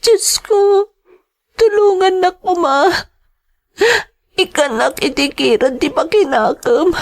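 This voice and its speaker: Anguished young maid, very high voice. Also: female voice, slow pace, exaggerated voice. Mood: tearful, pleading. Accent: Ilocano. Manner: tearful, pleading lament. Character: anguished young woman working abroad as a maid. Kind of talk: Ilocano radio drama acting.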